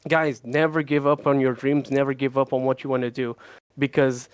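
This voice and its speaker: A man co-hosting a casual livestream Q&A for his game, talking in an excitable rush, repeating words and laughing often. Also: mid-range voice, normal speed, clear, resonant tone, dry recording. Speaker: game developer co-host.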